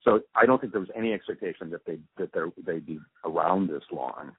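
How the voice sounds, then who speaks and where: Older guy, really low voice, thoughtful n halting interview answers, sounds like a tinny phone call. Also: slightly rough, no room sound. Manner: halting, thoughtful, pausing mid-sentence. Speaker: radio drama sound designer and producer being interviewed. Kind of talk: reflective interview talk. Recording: muffled phone-like narrowband line.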